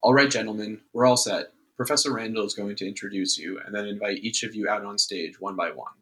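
An actor playing a businesslike event organiser giving a briefing in a radio play. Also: male voice, on the low side, brisk, a bit bright, lightly acted, rough recording. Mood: brisk.

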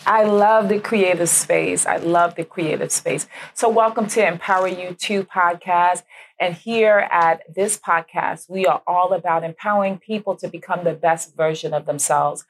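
Effusive woman podcast host, lowish voice. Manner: effusive, affirming, repeats her own phrases. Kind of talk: warm-up intro and interview questions.

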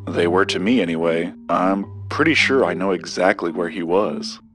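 An actor playing a guarded, loyal investigator in an audio drama, speaking in a dry, understated manner. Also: male voice, low-pitched, moderate pace, fairly bright tone, soft background music.

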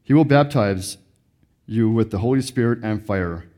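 Low, mellow-voiced man reading scripture. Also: normal speed. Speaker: scripture reader.

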